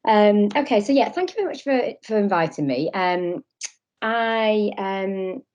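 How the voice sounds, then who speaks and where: A woman in her thirties from England, giving an online conference talk over a video call with a croaky voice from a cold, speaking hesitantly with many fillers. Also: mid-range voice, expressive, unhurried, a little mellow, highs cut off.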